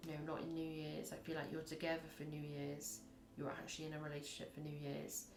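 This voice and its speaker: A woman with a husky voice, reading tarot in a chatty, rambling way and acting out little scenes as she goes. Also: low voice, completely flat delivery, fairly quick, music in the background. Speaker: tarot reader. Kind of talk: casual tarot reading to camera. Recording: echoey room.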